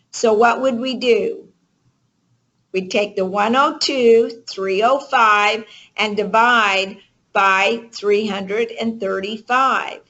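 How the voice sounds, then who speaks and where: A woman's voice: a little high, fairly bright tone, highs cut off. A woman giving motivational coaching to a group on a conference call, emphatic and checking in with rhetorical questions. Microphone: conference call line.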